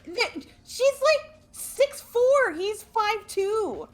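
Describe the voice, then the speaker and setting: A woman's voice: extremely high pitch, expressive, very slow. A woman chatting casually and playfully with friends during a game playthrough, making her points emphatically.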